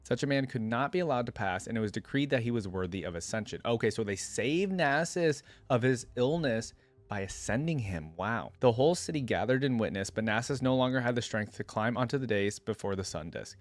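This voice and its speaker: A man's voice: mid-range voice, lively intonation, a little fast, no echo. A man hosting a reaction video, talking conversationally and thinking aloud as he comments and reads lore.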